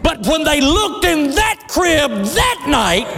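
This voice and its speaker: A middle-aged pastor preaching a sermon with passion, often shouting and laughing as he speaks. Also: extremely high pitch, very animated, slow, creaky, soft background music. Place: church sanctuary. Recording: long hall reverb.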